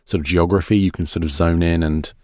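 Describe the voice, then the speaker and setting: A man's voice: deep voice, fairly even intonation, fast, darkish tone, dry recording, clean studio sound. A man hosting a podcast, interviewing his guest with plenty of encouraging short replies. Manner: encouraging, frequent short affirmations and laughs. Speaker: podcast host.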